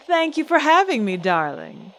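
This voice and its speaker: An actress playing a proud, guarded singer and stage star, theatrical and poised in an old-time radio drama style. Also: female voice, high voice, big pitch swings, moderate pace, fairly bright tone, slight background noise, full character voice, poor audio. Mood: gracious. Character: proud, guarded female singer-actress, a glamorous celebrity diva. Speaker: celebrity interview guest.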